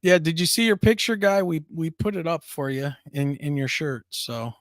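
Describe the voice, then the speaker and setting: An upbeat man hosts a casual live chat, laughing easily between remarks. His voice sounds scratchy and worn, pitched a little high. The sound is dry, with no room echo. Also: lively intonation, moderate pace. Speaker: co-host of a paranormal talk show.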